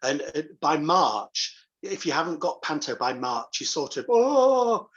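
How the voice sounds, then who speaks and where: A man's voice: a little high, sing-song, a little slow. A man chatting casually as an interview guest, affable with some dry joking. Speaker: guest, pantomime dame performer. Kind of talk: casual chat. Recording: slightly dull, lo-fi call audio.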